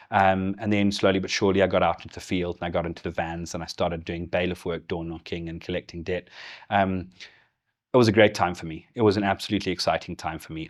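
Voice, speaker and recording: A deep, low-pitched middle-aged man speaks with a Zimbabwean accent worn partway into English. He tells his own story in a relaxed, candid way and laughs easily. It sounds like an interview guest in casual conversation. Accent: Zimbabwean with English influence. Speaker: guest, business executive.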